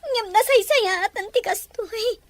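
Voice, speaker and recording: A woman playing a gentle, poor young sweetheart in a melodramatic audio drama, plaintive and emotional. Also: very high-pitched, measured pace, no echo. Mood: tearful, resigned. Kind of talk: melodramatic radio-style drama acting.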